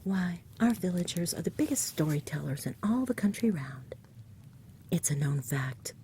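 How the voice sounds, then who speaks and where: A woman voicing an earnest, impatient young village boy in a soft, whispery ASMR storybook reading. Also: lowish voice, animated, moderate pace, a little hiss or hum. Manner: earnest, pleading.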